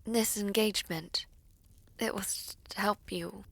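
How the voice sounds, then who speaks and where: A woman playing a poised, regal villainess princess in a fantasy audio roleplay, with measured, formal diction and a commanding but caring temper. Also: medium pitch, steady tone, fairly bright tone, no room sound. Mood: tender, reassuring.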